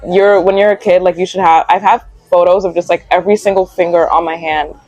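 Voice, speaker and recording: A woman's voice: lowish voice, fairly even intonation, a little fast, a little mellow, no room sound, muffled recording. A young woman of Guyanese heritage, a magazine founder, chatting casually as an interview guest in a cafe, full of "like" fillers. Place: cafe and lounge.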